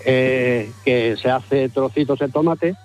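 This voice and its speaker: A man's voice: medium pitch, takes it slowly, darkish tone, soft background music. A man from La Mancha, calling a radio phone-in and explaining something casually, in a polite, hesitant way. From La Mancha, Spain.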